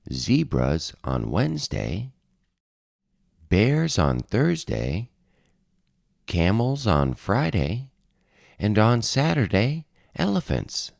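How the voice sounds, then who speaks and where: A man narrating a children's picture book as an expressive read-aloud. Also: on the low side, gravelly, no echo, clean studio sound. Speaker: storybook narrator.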